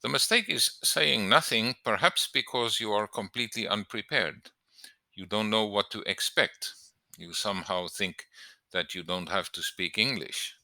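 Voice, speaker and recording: A man speaking as an English teacher giving exam tips in an emphatic, deliberate instructional style, stressing key words. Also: fairly low pitch, pushed and bright, no room sound. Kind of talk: instructional lesson to camera.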